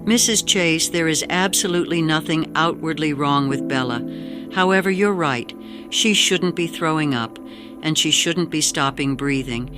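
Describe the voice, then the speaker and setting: A woman's voice: sits low, normal speed, lightly acted. Woman playing a firm, patient lady veterinarian, music behind. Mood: reassuring, professional. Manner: firm, reassuring, professional. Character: patient, matter-of-fact female veterinarian. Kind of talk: dramatic audio story acting. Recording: voice over prominent music.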